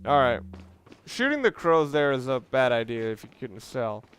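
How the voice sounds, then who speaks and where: A man giving casual, excitable let's play commentary on a video game, with casual swearing. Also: mid-range voice, moderate pace. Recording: clearly noisy home recording.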